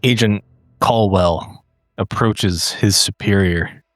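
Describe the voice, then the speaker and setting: Deep, slow male horror narrator. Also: no room sound. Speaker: tabletop roleplaying game cast member.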